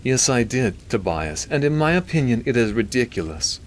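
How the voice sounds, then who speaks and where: Male narrator as a weary, pretentious man of science for an audiobook; nasal, pitched up, affected British airs, faint rain behind. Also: big pitch swings, moderate pace, slight background noise, close to an everyday voice. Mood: irritated. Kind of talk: audiobook character dialogue.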